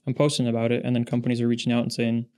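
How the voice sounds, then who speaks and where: A man in his thirties, born in Russia and raised in the Pacific Northwest, talks as a podcast guest. His voice is smooth and resonant, with a fairly even pitch, and he tells his stories in a steady, measured way. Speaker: podcast guest, industry founder. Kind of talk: casual interview chat.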